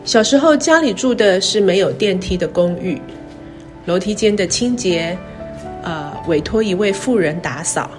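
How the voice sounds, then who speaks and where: A middle-aged woman with a Taiwanese Mandarin accent gives a scripted, reflective talk in measured, careful phrases. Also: mid-range voice, animated. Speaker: university president. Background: background music.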